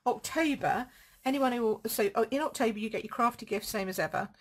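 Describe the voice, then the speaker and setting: A woman with a croaky throat, chatting casually as she demonstrates card making at home. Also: on the high side, fairly quick. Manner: chatty, rambling, thinks aloud while crafting. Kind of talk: casual live craft demo.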